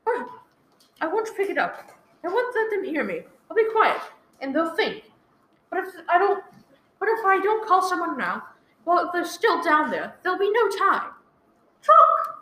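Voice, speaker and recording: Woman acting a frantic, very high-pitched invalid wife. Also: very animated, a little slow, long pauses, pushed and bright, exaggerated voice. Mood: desperate, frightened. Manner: frantic, stammering, pleading. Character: panicked invalid wife alone in her house. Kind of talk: live radio play acting. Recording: roomy, echoey, faintly noisy live recording.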